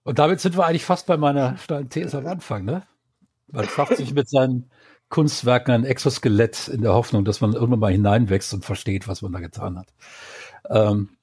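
A man's voice: medium pitch, a little fast, a little mellow, breathy edge, no room sound. A man co-hosting a podcast, arguing his opinions at length in a casual talk. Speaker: podcast co-host.

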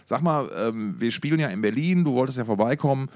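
A man talks as a podcast co-host in a loose, conversational style. He rambles through his stories and drops in wry, mock-formal phrases. His pitch is ordinary for a man. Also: animated, a little fast, darkish tone. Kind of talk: casual podcast chat, anecdotes.

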